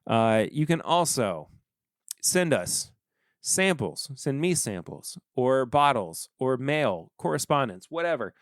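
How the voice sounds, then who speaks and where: A man's voice: medium pitch, dry recording, clean studio sound. A man hosting a podcast, wrapping up a solo episode in a rambling, chatty way with joking asides. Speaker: podcast host. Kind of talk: casual solo podcast outro.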